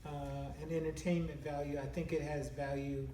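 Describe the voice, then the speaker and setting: A man giving casual interview answers in a halting, measured way as a member of a theatre production. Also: on the high side, little pitch movement, warm tone. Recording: echoey, distant mic, faint room noise.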